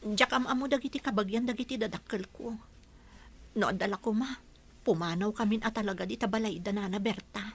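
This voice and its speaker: A woman's voice: mid-range voice, brisk, husky. A woman playing an anxious, long-suffering young mother in a radio drama, plaintive and earnest.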